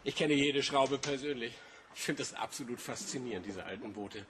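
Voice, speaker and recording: An actor playing a friendly, proud boat enthusiast in radio drama dialogue, relaxed and reflective. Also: male voice, medium pitch, moderate pace, noisy background, close to an everyday voice. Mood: musing.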